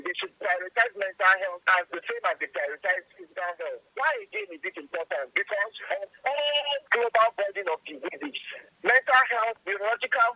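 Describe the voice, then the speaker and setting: A man's voice: sits high, sharp tone, dry recording, poor audio. A man, a psychologist explaining earnestly in an interview and repeating points for stress. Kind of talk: interview soundbite.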